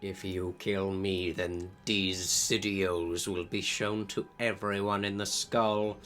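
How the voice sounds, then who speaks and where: A sneering villain of a wizard schoolmaster, put on by a man for a comic story reading. He talks low and unhurried, with scorn and threat, over background music. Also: flat delivery, fairly bright tone. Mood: menacing.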